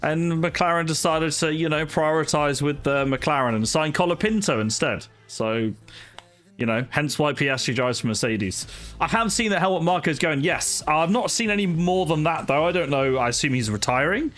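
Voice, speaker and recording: A man's voice: fairly high pitch, expressive, normal speed, a bit bright. A young man chatting casually with viewers on a live stream, greeting people by name and talking with plenty of fillers. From not from Wales. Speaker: sim racing streamer. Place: home streaming setup. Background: music playing.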